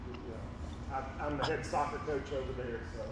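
A middle-aged man, a high school history teacher, giving a folksy, rambling public lecture in a big, carrying voice. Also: moderate pace. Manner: folksy, rambling, lots of ums and restarts. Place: large echoey auditorium with a screen. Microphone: distant room mic, speaker away from it. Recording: echoey hall from far off, room noise.

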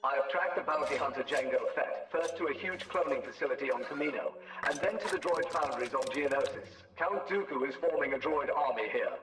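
A man's voice: mid-range voice, brisk, a bit bright, slight background noise. A man playing a composed, formal knight sending an urgent report through a hologram radio effect in a game. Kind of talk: video game cutscene acting. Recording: echoey, distant transmission, faint music.

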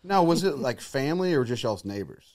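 A man's voice: medium pitch, very animated, a little fast, darkish tone. A man in his thirties casually reminiscing as a podcast co-host. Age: 30s.

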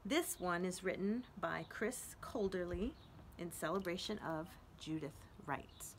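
Slow-paced woman reading poems aloud outdoors. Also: lowish voice. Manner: unhurried, expressive reading with deliberate pauses. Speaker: children's librarian reading to an online audience. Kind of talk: poetry read-aloud with casual asides. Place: outdoors on a house front porch. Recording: outdoor traffic noise, street ambience. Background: street traffic.

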